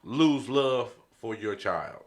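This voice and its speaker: A man's voice: medium pitch, expressive, slow, background noise. A man hosting a podcast in casual, slangy chat, often repeating his catchphrases. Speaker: podcast host.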